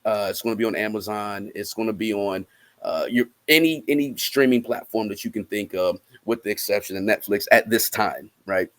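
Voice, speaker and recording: A man's voice: fairly low pitch, normal speed, a bit bright. A man giving interview answers as a guest, repeating words as he goes and tagging phrases with "right?". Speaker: guest musician promoting a film project.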